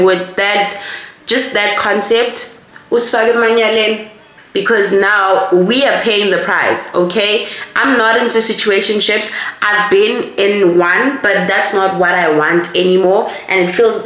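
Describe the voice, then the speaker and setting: A young South African woman in her mid twenties chats on and on while doing her makeup. She rambles and is lively, slipping local words into her talk. Her mid-pitched voice has a roomy echo around it. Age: 20s. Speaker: vlogger. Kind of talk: casual chatty makeup vlog. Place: home room, doing makeup.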